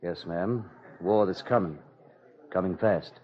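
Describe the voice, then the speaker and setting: On a muffled 1940s radio recording, a young Southern officer. His voice is fairly low, with a grave, courteous and measured delivery. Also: heavy background noise. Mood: courteous, foreboding. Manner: polite, measured, solemn. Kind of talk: 1940s radio drama acting.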